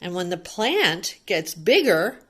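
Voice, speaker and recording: A woman's voice: lowish voice, very animated, takes it slowly, breathy edge. A woman reading a picture book aloud to young children, adding chatty commentary and simple explanations and often putting questions to the child.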